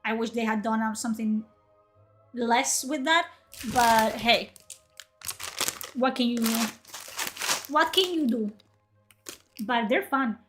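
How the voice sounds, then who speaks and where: A woman's voice: fairly high pitch, takes it slowly, long pauses, music in the background. A young woman from Spain, a native Spanish speaker, chatting in a rambling way on her livestream and answering chat. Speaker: livestream host.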